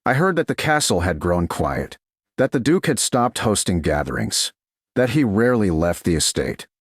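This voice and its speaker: A man voicing a calm, resolute and gently wry servant woman in an audiobook romance, speaking in a measured, reasonable way. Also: low-pitched, breathy edge, no echo, studio quality, heavily acted. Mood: hesitant, confessing.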